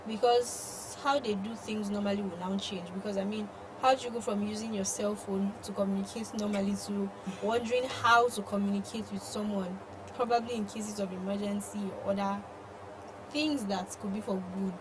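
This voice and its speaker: Woman, Nigerian accent, talk show co-host, conversational news discussion, mid-pitched voice, clearly noisy recording. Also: fairly even intonation, moderate pace. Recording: noisy room ambience.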